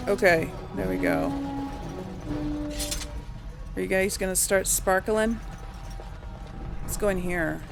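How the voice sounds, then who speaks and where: A woman's voice: lowish voice, big pitch swings, slow pace, vocal fry, pauses often. A woman giving casual game commentary, musing aloud to herself and her audience. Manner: musing, talks to self and audience. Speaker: game streamer, let's-player. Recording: game music and sounds behind.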